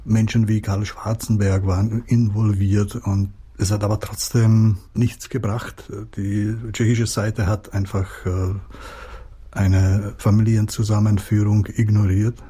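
A man's voice: fairly low pitch, level tone, measured pace, warm tone, slightly rough. A middle-aged Czech-born man with a Czech accent, a writer answering interview questions hesitantly with many fillers and restarts.

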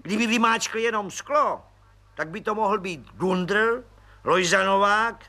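A man doing a high, chatty little police snoop. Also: sharp tone. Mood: eager, chatty. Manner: familiar, eager, slangy. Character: chatty red-haired little male police agent. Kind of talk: audiobook character voice.

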